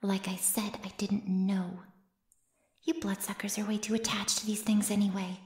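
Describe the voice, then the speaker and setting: A woman's voice: medium pitch, little pitch movement, moderate pace, a little mellow, roomy sound, natural voice. A woman voicing a sly, haughty flesh-eating female monster in an ASMR roleplay, mocking and mock-innocent as she savors her words. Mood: grudging, defensive. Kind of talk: ASMR roleplay audio drama.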